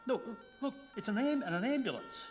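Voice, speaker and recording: Excitable sidekick photographer acted by a man, very animated, pleading and stuttery, comic radio drama in an echoing hall. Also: very high-pitched, brisk, music in the background. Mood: pleading, urgent. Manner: stammering, urgent, repeating himself. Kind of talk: comic stage radio-play acting. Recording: reverberant hall.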